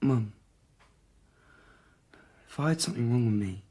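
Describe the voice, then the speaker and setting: An actor playing an awkward, anxious teenage son, narrating and talking in a radio play. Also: male voice, medium pitch, animated, a little slow, warm tone, husky, no echo. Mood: anxious, hushed.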